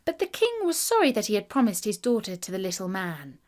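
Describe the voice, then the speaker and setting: A woman narrating a scripted fairy tale for children in a deliberate, expressive bedtime reading. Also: medium pitch. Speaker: storyteller.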